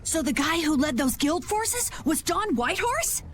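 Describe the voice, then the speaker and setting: Plucky game kid, high bouncy pitch, eager curiosity, slight noise behind. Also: female voice, big pitch swings, normal speed. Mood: curious, eager. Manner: eager, questioning. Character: eager, inquisitive youngster, plucky adventurer. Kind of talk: video game voice acting.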